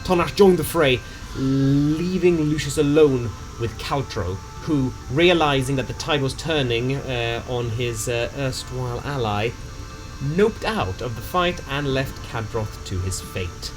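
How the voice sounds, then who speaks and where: A man's voice: mid-range voice, unhurried, rough recording. A man narrating a tabletop game story recap in a casual, dramatic storytelling way with some slang. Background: music.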